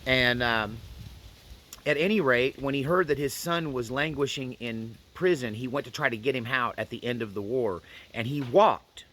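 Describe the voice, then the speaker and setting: A man hosts a casual storytime in a voice of middling pitch with a bright, firm edge. He talks without a script in a folksy manner, circling back to repeat phrases so that they land. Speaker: storyteller and host. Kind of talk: casual first-person storytelling to camera.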